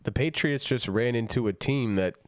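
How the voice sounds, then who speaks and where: A man co-hosts a casual sports podcast, the calm straight man to his joking partner. His voice sits at an average pitch and sounds close and dry, with no room around it. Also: normal speed, dry recording. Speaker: podcast co-host.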